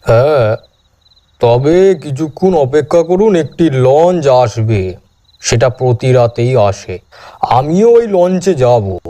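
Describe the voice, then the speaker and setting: Man voicing a slow, deep old ghost man. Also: animated, mellow. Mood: calm, grave. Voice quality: deep, solemn voice. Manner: slow, deliberate, formal. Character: ghostly old man of about seventy, grave, ominous. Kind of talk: cartoon horror story acting.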